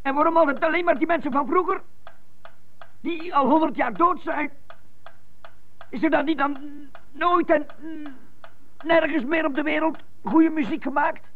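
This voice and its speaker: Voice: high voice, moderate pace, pauses often. A performer in a radio drama playing a defiant young boy piano pupil who stammers. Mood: agitated. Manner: stammering, repeats words when worked up. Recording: noisy, thin phone-like radio tape.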